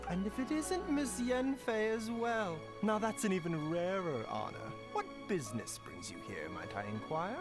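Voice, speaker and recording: A man's voice: sits high, big pitch swings, normal speed, hardly any pauses, over music. A man playing a courteous, knowing pharmacist doctor in a video game, with genteel, wordy, teasing politeness. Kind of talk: scripted game dialogue.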